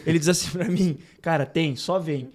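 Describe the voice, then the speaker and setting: A man's voice: a little high, a little mellow. A man telling a travel story in a casual chat, laughing and acting out what people said. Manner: fast, laughing, acts out quoted dialogue.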